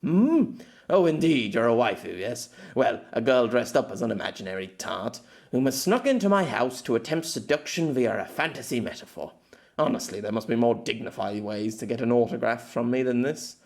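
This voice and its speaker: A man's voice: mid-range voice, expressive, normal speed, gravelly, a little mellow. Man playing a grumpy male author, pompous, sardonic, condescending, swooping intonation, audio story character. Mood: musing, condescending.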